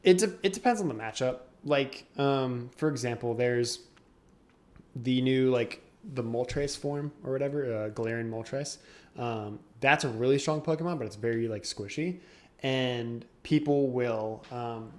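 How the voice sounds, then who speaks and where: A young man on a casual podcast, walking through game mechanics in detail. His voice sits at an ordinary pitch for a man, and he breaks into laughter now and then. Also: a little mellow. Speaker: podcast co-host. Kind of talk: casual chat.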